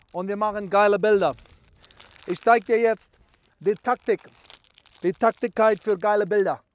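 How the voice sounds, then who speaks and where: A man's voice: sits high, dry recording. A man playing a boastful self-proclaimed bird photographer who speaks broken German mixed with English. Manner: boastful, stumbling over words. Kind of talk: parody how-to video.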